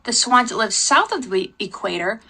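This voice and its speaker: Bright-voiced woman teaching children. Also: fairly high pitch, expressive, moderate pace, slightly rough. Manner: instructive, spells words out, addresses viewers directly. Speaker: homeschool teacher, lesson host. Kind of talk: live read-aloud lesson for children.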